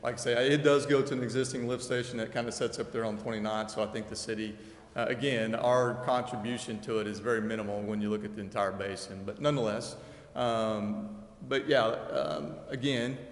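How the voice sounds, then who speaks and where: A man's voice: fairly low pitch, fairly even intonation, a little fast. A man speaking off the cuff as a project engineer at a council meeting, explaining things in a folksy, roundabout way. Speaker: developer's engineer presenting a subdivision plat. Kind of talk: unscripted public presentation at a city council meeting. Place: indoor council chamber. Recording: echoey reverberant hall with background noise.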